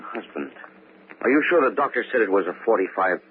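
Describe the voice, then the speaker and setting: An actor playing a serious, decisive nightclub mentalist with a probing, authoritative manner in a 1940s radio mystery. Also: male voice, on the low side, expressive, fairly quick, lightly acted. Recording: faintly noisy, narrow old radio broadcast.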